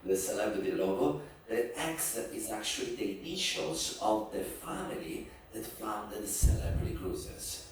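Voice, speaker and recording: A man's voice: fairly low pitch, a little hiss or hum. A man working as a cruise director, telling jokes and stories to a live audience on board. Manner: joking, animated storyteller. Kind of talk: lively onboard talk to an audience. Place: large room on a cruise ship. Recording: distant, very echoey room.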